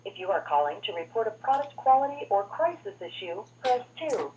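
A woman's voice: medium pitch, sing-song, brisk, mellow. A woman reading a scripted automated announcement, heard over a telephone line. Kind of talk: scripted recorded phone announcement. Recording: dull phone audio with faint noise.

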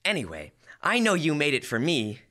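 Give the part to a teenage boy acting a bumbling, nostalgic radio sitcom husband. His bright voice leaps all over in pitch as he gushes and gets flustered. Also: huge pitch swings, normal speed, exaggerated voice. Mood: eager, bluffing. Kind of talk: old-time radio comedy acting. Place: school library room, stage microphone.

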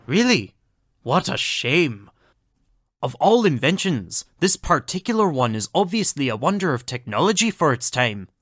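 Man playing a high-voiced, genteel old-fashioned doctor. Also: very animated, moderate pace, a bit bright, dry recording, clean studio sound. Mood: delighted. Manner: formal, old-fashioned, enthusiastic. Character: genteel, cheerfully oblivious time-displaced doctor from the past. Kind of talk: audio drama acting.

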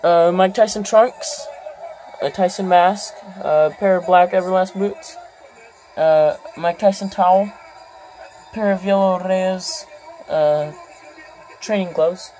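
Vlogger, phone tour narration, off screen, unhurried, filler-heavy, indoor room. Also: mid-range voice, dark tone, rough recording. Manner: unhurried, lists items, many ums and uhs. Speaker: vlogger giving a home tour. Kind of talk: casual walkthrough narration. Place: indoor room full of posters and memorabilia.

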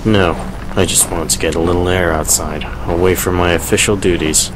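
A man playing a reserved, melancholy young doctor in a radio drama, speaking in a measured, formal way. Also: deep voice, steady tone, noisy background, lightly acted. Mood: polite, reserved. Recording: music under the voice.

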